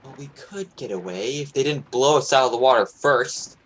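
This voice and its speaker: A young man playing a steady, commanding sailing captain in an amateur radio play. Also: mid-range voice, normal speed, lightly acted. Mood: firm resolve.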